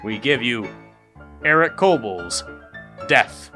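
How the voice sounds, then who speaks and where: Guy doing showy mock-grand announcer bit, bright voice, really animated, faint music behind. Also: medium pitch, slow. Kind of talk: scripted comic announcement.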